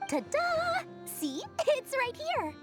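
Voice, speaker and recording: Tiny shrewd merchant girl, high voice, lively swings, playful, self-important, game character, music behind. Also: takes it slowly, exaggerated voice. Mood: gleeful, boastful. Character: small, boastful, money-minded merchant girl, playful and shrewd. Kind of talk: animated video game character acting.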